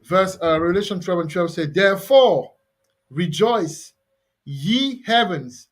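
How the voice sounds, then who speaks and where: A man with a fairly high speaking voice leads an online scripture lesson. He rambles loosely, repeating himself and leaning on fillers as he goes. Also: unhurried. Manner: loose, repetitive, with many fillers. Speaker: host teaching scripture. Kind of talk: casual religious teaching over video call.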